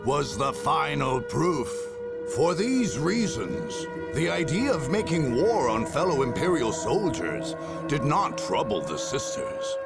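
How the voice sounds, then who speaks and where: An actor playing a grave, grandiose male war chronicler, narrating a game story in a theatrical, deliberate way. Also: a little high, sing-song, vocal fry, over loud music, full character voice. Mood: grave, righteous. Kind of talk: dramatic scripted game narration.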